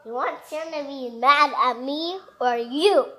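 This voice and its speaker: Woman voicing an excitable, high-pitched storybook monster. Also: a little slow. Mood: frantic. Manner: exclamatory, theatrical read-aloud delivery.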